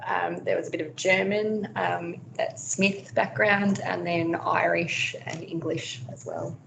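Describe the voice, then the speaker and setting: A woman's voice: lowish voice, fairly even intonation, a little slow, gravelly, background noise. A woman with an Australian accent chatting casually at a meeting. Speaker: meeting attendee. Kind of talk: casual chat.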